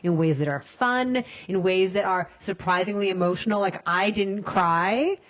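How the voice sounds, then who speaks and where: A woman's voice: mid-range voice, normal speed. A woman co-hosting a film review panel, chatting casually as a critic with her colleagues. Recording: slightly dull, band-limited audio.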